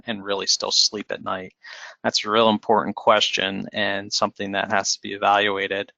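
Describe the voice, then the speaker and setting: Guy with a low gravelly voice giving a webinar talk, lots of ums, dry muffled audio. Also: pushed and bright, no echo. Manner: measured, explanatory, with frequent fillers and restarts. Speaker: financial advisor presenting. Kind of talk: webinar presentation.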